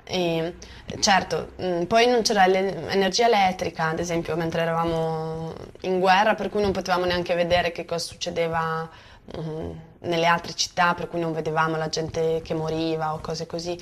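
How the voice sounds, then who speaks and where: A woman's voice: fairly low pitch, flat delivery, normal speed. A woman from Bosnia, a native Bosnian speaker, giving spontaneous personal testimony and hesitantly searching for words. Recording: faint background noise.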